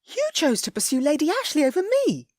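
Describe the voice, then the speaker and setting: A woman voicing a proud young high-born lady with sharp, huffy retorts. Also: wildly swooping pitch, fairly quick, dry recording, studio quality. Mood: angry.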